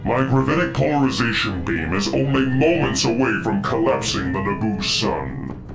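An actor playing a menacing, pompous video game villain who taunts and gloats. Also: male voice, medium pitch, sing-song, moderate pace, creaky, over loud music. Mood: gloating, menacing.

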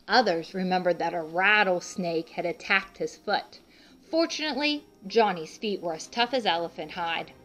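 A woman reading a picture book aloud to young children, pausing to explain things simply and speaking to them directly as a teacher would. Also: on the low side, fairly bright tone. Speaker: children's read-aloud host and art teacher.

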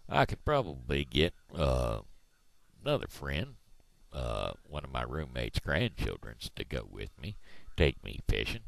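A man's voice: very deep voice, big pitch swings, measured pace, gravelly, no room sound. A man in his fifties from Missouri, rambling in a folksy, drawling way on his video blog, speaking into a headset mic in a small room. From Missouri, USA. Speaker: video blogger. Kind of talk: casual rambling monologue. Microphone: headset microphone.

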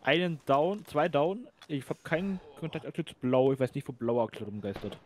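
Brisk man over loud gunfire. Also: fairly high pitch, noisy background. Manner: brisk, giving orders. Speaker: squad member in a military simulation game. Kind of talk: tactical radio chatter.

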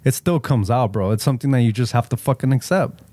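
A man's voice: mid-range voice, quick pace, warm tone, no echo. Man, native Spanish speaker, podcast co-host, swears a lot, laughs often, mixes Spanish and English, dry close mic. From Los Angeles area. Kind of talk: casual chat.

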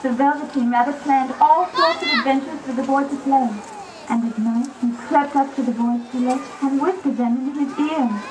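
A woman's voice: high-pitched, big pitch swings, moderate pace. A woman narrator reading a scripted children's story for a puppet show. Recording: distant, noisy puppet theater recording.

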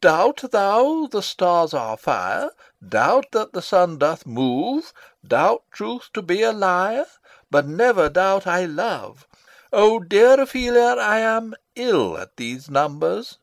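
Pompous old counsellor, wildly swooping delivery. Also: male voice, sits high, sing-song, slow, no room sound, full character voice. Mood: theatrical, declaiming. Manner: rambling, self-satisfied, theatrical. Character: pompous, long-winded old male royal counsellor and father. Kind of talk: classical Shakespearean stage acting, dramatic reading.

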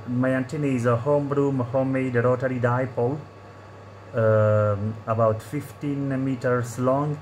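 A man from Italy with an Italian accent, a native Italian speaker, making an amateur radio contact with phonetic call signs and repeated phrases. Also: mid-range voice. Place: indoors at a radio set. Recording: noisy handheld room recording.

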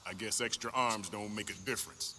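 A man playing a gruff, hot-tempered army major, blunt and streetwise, in an action video game. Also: on the low side, fairly quick, long reverb tail, noisy background, natural voice. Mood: cocky.

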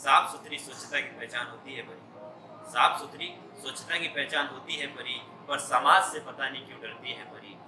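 A young man, slowly declaiming a poem and repeating each line. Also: medium pitch. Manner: slow, repetitive declamation with each line said twice. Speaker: poet reciting his poem. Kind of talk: poetry recitation. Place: indoor room in front of a brick wall. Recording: echoey room, mic at a distance, clear background noise.

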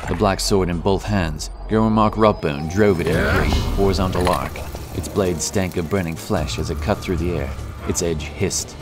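A man with a very deep voice narrates a fantasy tale. His delivery is scripted and dramatic, set over prominent music. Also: normal speed, hardly any pauses.

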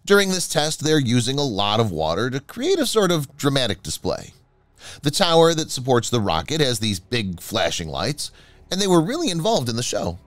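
A man's voice: mid-range voice, wildly swooping pitch, normal speed, no room sound. A man reading a scripted voice-over narration with a dramatic, swooping delivery. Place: voice-over, not recorded on site.

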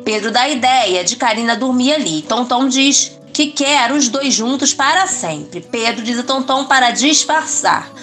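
A woman narrating soap opera plot recaps in an even, scripted reading. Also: fairly high pitch, measured pace, few pauses, faint music behind.

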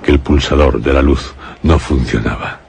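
A man with a Castilian Spanish accent narrating a suspense radio drama in a grave, measured storytelling manner. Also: rumbling low, fairly even intonation, creaky, very noisy.

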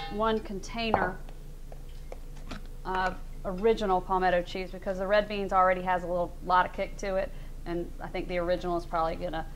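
A woman's voice: medium pitch, slight background noise. A woman, hosting a casual cooking demonstration in a studio kitchen with a chatty, friendly way of talking. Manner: chatty and friendly, with y'all and fillers. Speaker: cooking show host. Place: large studio kitchen indoors.

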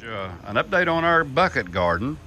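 An older man giving a chatty, folksy walkthrough of his house under construction, filming on his phone and filling pauses with "uh". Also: medium pitch, very animated, pushed and bright, a little hiss or hum. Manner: folksy, chatty, with many "uh" fillers and "yeehaw". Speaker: vlogger touring his house build. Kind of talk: casual walkthrough narration. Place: unfinished wooden house frame, indoors and on outdoor steps. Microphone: phone camera held by the speaker.

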